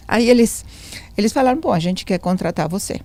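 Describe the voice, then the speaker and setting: A woman's voice: mid-range voice, animated, moderate pace, a little mellow, no echo. A woman in her seventies, not a native speaker of the language, telling her story as a podcast guest and acting out quoted dialogue. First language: not Portuguese. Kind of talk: storytelling in a relaxed interview.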